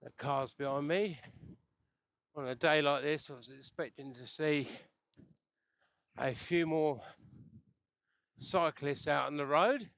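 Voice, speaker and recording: Man, slightly high voice, chatty cyclist talking through a dull headset mic on the road. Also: slow, gravelly, pauses often, fairly bright tone, no echo. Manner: rambling, chatty, chuckles often. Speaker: cycling vlogger. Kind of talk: casual chat while riding. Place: outdoors on a bike on the road. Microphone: helmet intercom headset mic.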